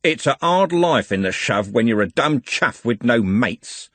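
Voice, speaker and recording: A menacing young gang leader, voiced by a male narrator, hissing out his threats slowly and low. The voice carries a breathy rasp, and the recording is dry. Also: expressive, no echo.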